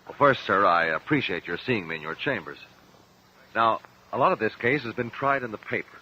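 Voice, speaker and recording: Courtroom attorney, measured, persistent, expressive intonation, radio drama style, dulled noisy old recording. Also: male voice, fairly low pitch, lo-fi sound. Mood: earnest. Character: composed, probing attorney questioning witnesses.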